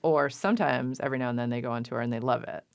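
A woman's voice: a bass voice, rapid-fire, vocal fry, no echo. A woman hosting an interview show about the music business, asking her guest friendly questions. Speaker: radio show host. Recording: dry studio with faint music.

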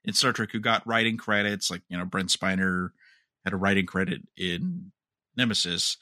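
A man's voice: deep voice, moderate pace, sharp tone, no echo, clean studio sound. A man co-hosting a podcast in casual chat, rambling a little and often repeating words as he goes. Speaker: podcast co-host. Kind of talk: casual chat about TV and fandom.

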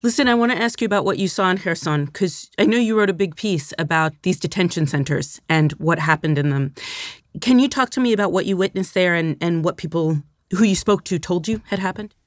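A woman's voice: on the low side, fairly quick, no echo, clean studio sound. A woman hosting a news podcast, putting direct interview questions to a guest.

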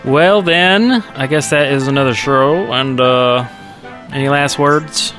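A man's voice: medium pitch, slow pace, music in the background. A man co-hosting a podcast in casual, chatty conversation. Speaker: podcast co-host. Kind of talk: casual chat.

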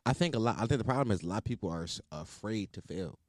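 A man's voice: fairly low pitch, dry recording, studio quality. A man hosting a casual podcast chat, talking with a slight stutter and laughing often. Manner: quick, lively, laughs often. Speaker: podcast host.

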